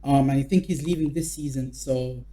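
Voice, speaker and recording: Mellow, relaxed man talking football. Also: mid-range voice, normal speed. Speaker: football podcast panelist. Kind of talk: casual football discussion.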